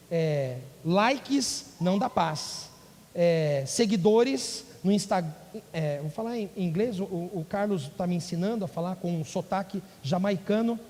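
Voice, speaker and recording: High-voiced man preaching in a reverberant hall. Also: darkish tone. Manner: preaching with rising emphatic bursts and audience questions. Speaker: pastor. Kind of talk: sermon. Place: church. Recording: church hall with long reverb.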